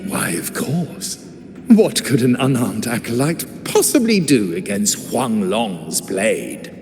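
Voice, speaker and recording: A man's voice: mid-range voice, very animated, slow pace. An actor playing a mocking, cryptic male acolyte villain in a video game cutscene, theatrical and taunting. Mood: ominous. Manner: theatrical, taunting, swooping delivery. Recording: reverberant game audio with loud music.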